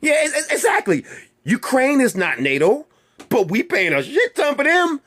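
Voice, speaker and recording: Fired-up man ranting, high and lively. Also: moderate pace. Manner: profane, rhetorical questions, repeats himself; heated and emphatic. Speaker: channel host. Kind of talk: ranting monologue to viewers.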